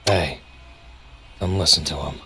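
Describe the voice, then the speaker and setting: A man playing a supportive, laid-back young street friend in an animated game cutscene, casual and understated. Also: very deep voice, a little slow, slightly rough, full character voice. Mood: guarded.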